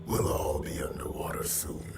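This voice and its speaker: An actor playing a vengeful undead drowned sailor, a grim and twisted video game villain who speaks in brooding, menacing monologue with a whispery, gravelly rasp. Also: male voice, lightly acted. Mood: cold, menacing. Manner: slow, menacing, brooding, colloquial speech. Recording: echoing, distant, music underneath. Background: loud music.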